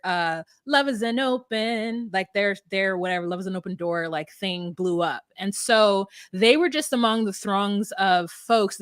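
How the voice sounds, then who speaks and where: A woman's voice: mid-range voice, a bit bright, dry recording, clean studio sound. A woman in her thirties hosting a podcast, chatting casually with rambling asides and frequent laughter. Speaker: podcast host. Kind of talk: casual chat.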